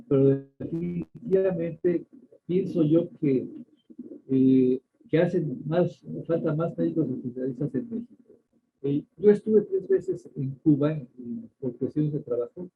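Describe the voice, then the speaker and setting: An older man with a Mexican accent, a doctor answering interview questions in a measured way, pausing often and restarting his sentences. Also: a little high. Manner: measured, many hesitations and restarts. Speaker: ophthalmologist guest. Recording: tinny phone-like line.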